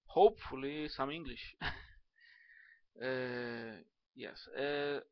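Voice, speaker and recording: Slow-talking man with a Russian accent. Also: medium pitch, no echo, dull audio. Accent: Russian and Hebrew. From Ukraine. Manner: halting, many fillers and restarts. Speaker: video blogger. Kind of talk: casual to-camera talk.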